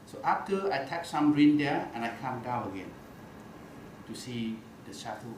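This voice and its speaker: A male monk recounts a story in halting English, stopping often to search for words. His voice sits at a middle pitch and rings a little in a roomy space. A faint noise hangs behind him. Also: lively intonation, darkish tone. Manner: halting non-fluent English, many "uh" fillers and restarts. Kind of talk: storytelling dharma talk.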